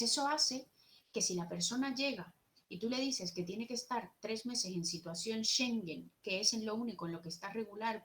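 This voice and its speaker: A woman's voice: on the high side, fairly bright tone. A young woman with a Jerez Andalusian accent giving an earnest, explanatory conference talk at a social work seminar, partly read from notes. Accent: Andalusian Spanish, from Jerez.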